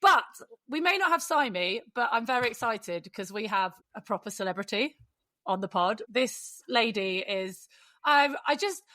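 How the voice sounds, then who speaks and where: A British woman with a fairly high voice hosts a casual podcast. She talks in an effusive, bantering way, recorded close and dry. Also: animated, normal speed, pushed and bright, no room sound. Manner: effusive, jokey. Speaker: podcast host.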